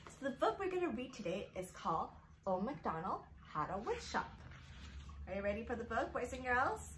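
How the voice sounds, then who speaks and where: A woman's voice: on the high side, sing-song, normal speed, a bit bright, slight background noise. A woman hosting story time for young children, reading in a sing-song way with simple phrasing aimed directly at little listeners. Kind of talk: sing-song read-aloud of a picture book for young children.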